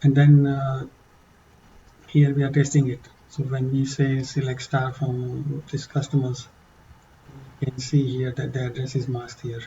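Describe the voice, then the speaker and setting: A man with an accent walking through a software demo in a halting, casual way, with many fillers and restarts. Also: mid-range voice, monotone, vocal fry, muffled recording, a little hiss or hum. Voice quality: non-native English accent. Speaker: tutorial presenter. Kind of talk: casual screen-share walkthrough.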